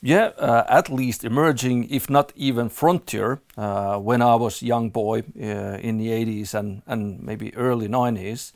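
A middle-aged man from Finland with a Finnish accent, speaking as a podcast guest, halting with many fillers. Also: medium pitch, fairly even intonation, dry recording, studio quality. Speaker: guest, fund manager. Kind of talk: podcast interview.

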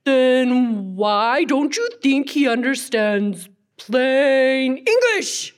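A woman's voice: sits high, animated, extremely slow, clean tone, heavily acted. A woman voicing a friendly boy puppet who patiently questions and advises a pal in a children's church show. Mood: patient, teaching.

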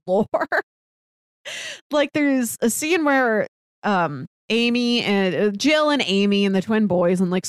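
A woman's voice: medium pitch, dry recording, studio quality. A woman co-hosting a podcast, casually talking over a TV drama in a rambling storytelling way. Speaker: podcast co-host. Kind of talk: casual chat about a TV drama.

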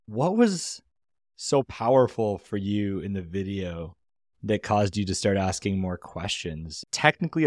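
A man's voice: on the low side, a little mellow, dry recording, studio quality. A man hosting a startup podcast, upbeat and candid as he asks questions. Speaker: podcast host. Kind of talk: casual podcast interview.

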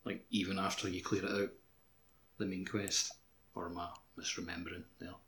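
A man with a fairly low, bright and forceful voice talks as a podcast co-host. His delivery is casual and rambling, circling back on itself with plenty of filler. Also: level tone, moderate pace. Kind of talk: casual chat about a video game.

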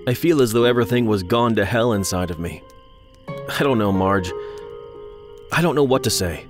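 A sullen, disillusioned young man, played by a male reader speaks in a low voice. His audiobook dialogue is terse and withdrawn, over faint music. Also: moderate pace, lightly acted. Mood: dejected.

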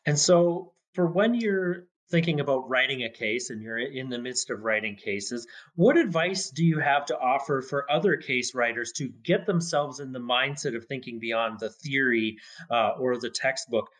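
A man's voice: medium pitch, moderate pace, a bit bright. A man hosting a podcast interview in an effusive, wordy conversational way, stacking one question onto the next. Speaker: podcast host.

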